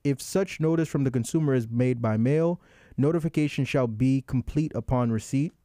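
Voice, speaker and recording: A man's voice: medium pitch, normal speed, warm tone, no room sound, clean studio sound. A man hosting a casual chat in an easygoing way, sometimes reading legal text aloud. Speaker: podcast host.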